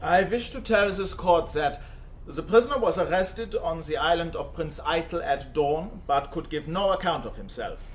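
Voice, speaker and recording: Man doing a stiff german lieutenant, reads it out like a report, slightly high voice. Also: expressive, moderate pace, over music, lightly acted. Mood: formal. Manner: formal, report-like. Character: dutiful German naval lieutenant, stiffly formal.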